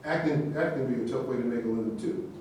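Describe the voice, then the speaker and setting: A man's voice: mid-range voice, quick pace, a little mellow, natural voice. A man in a staged play reading, playing a reasonable, reproving actor who served in the Navy. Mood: matter-of-fact. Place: echoey indoor room at a staged reading. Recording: distant, very echoey room with noise.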